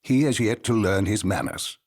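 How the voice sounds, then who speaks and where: A man playing a humble, courteous elderly grandfather with a raspy voice in a video game. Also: fairly low pitch, animated, normal speed. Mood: apologetic, courteous. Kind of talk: video game cutscene acting.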